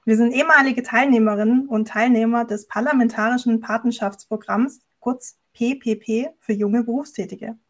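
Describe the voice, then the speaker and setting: A woman's voice: on the high side, steady tone, normal speed, hardly any pauses. A woman hosting a podcast and giving an introduction to the show and its guest. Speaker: podcast host.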